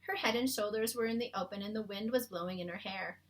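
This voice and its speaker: A woman narrating a children's storybook aloud in an expressive read-aloud style. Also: mid-range voice, fast. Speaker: storybook reader.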